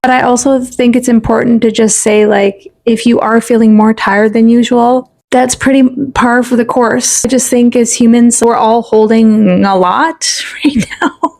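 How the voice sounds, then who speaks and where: A woman co-hosting a casual, supportive chat, speaking in a gently reassuring, affirming way. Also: on the high side, steady tone, unhurried. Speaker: podcast co-host. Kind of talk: casual supportive conversation about self-care.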